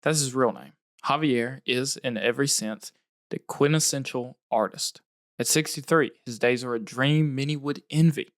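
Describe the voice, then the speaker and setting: A man hosting a podcast, reading scripted narration in a measured storytelling way. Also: medium pitch, no room sound, clean studio sound.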